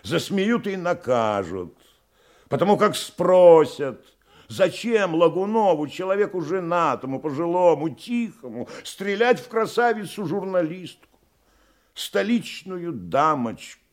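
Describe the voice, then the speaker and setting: An actor playing an elderly murder suspect in a radio drama, sly and defiant, with a theatrical, mocking delivery. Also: male voice, high voice, sing-song, measured pace. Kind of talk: Russian radio drama acting.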